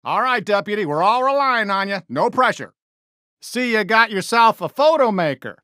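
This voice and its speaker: A man's voice: high-pitched, big pitch swings, normal speed, pushed and bright, no echo, studio quality, full character voice. A man voicing a friendly, folksy American toy cowboy sheriff in a video game, eager and encouraging with a cowboy drawl. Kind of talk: video game character voice lines.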